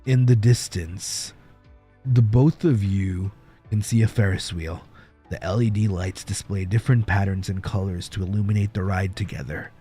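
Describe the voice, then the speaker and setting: A man livestreaming in a playful, casual way, chatting and reading stories aloud with a husky voice. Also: fairly low pitch, fairly even intonation, moderate pace, dark tone. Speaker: livestreamer, virtual streamer. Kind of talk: casual livestream chat and fan fiction reading. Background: background music.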